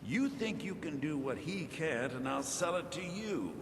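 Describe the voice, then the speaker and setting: A smug, insinuating mage trader from a fantasy game. The delivery is very melodic and condescending, with a long reverberation and clear ambience behind it. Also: male voice, fairly high pitch, lively intonation, a little slow, background noise. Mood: mocking, smug. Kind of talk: scripted video game dialogue.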